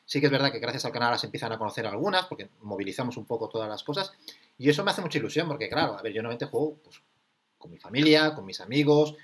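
A man from Catalonia hosting a casual interview, asking questions in a relaxed, conversational way. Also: mid-range voice, quick pace, husky. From Catalonia, Spain. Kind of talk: casual video-call interview.

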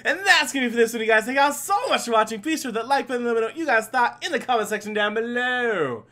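A man hosting a gaming video with animated let's play commentary, talking to himself and to the characters on screen. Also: very high-pitched, quick pace. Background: video game music.

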